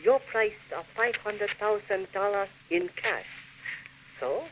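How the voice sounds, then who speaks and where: An actor playing a sinister, courteous Japanese government agent in a 1940s radio drama, with a put-on Japanese accent and clipped, formal broken English. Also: male voice, sits high, lively intonation, takes it slowly, fairly bright tone, heavily acted. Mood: smooth, scheming. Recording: noisy old 1940s radio recording.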